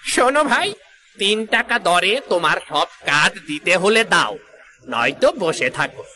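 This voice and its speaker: Haggling village husband, henpecked cartoon buyer female performer: sharp bright tone, slow theatrical drawl, swooping pitch. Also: very animated, heavily acted. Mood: haggling, scornful. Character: haggling henpecked village man buying firewood. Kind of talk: Bengali moral-story cartoon voice acting.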